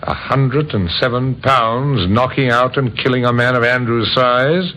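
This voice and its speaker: An actor playing a courteous, thoughtful police inspector in an old radio drama, hesitant and dryly ironic. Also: male voice, mid-range voice, unhurried, sharp tone. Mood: gently wry. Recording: muffled, hissy old radio recording.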